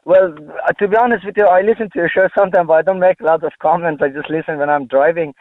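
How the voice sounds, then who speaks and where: A man from Afghanistan calling in to a radio show, speaking haltingly with many restarts over a phone line. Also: a little high, no room sound. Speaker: caller. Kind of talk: phone-in call.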